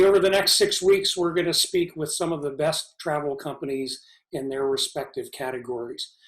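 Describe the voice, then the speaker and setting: A man hosting a travel interview, polite and slightly hesitant, with frequent fillers. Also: fairly high pitch. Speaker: travel show host. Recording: echoey room.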